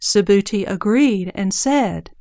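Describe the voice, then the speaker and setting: A woman's voice: mid-range voice, expressive, slow, warm tone, dry recording, clean studio sound. A woman giving scripted narration for an audio drama of a scripture.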